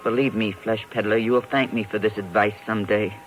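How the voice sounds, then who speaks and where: A performer playing a gruff, guarded bearded lady of the carnival in a 1950s radio drama. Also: mid-range voice, steady tone, a little fast, faint music behind, natural voice, poor audio. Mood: stern, warning. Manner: terse, commanding.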